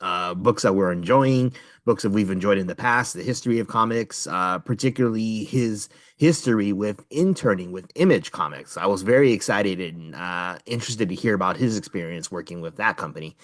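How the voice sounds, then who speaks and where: Low-voiced American man, casual podcast host. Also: brisk, no room sound, clean studio sound.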